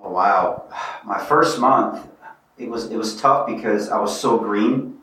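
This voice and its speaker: A man's voice: lowish voice, measured pace, pushed and bright, very echoey, distant mic, poor audio. A man from Bosnia whose first language is not English, telling his story earnestly and reflectively as a guest in an interview. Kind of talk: casual interview.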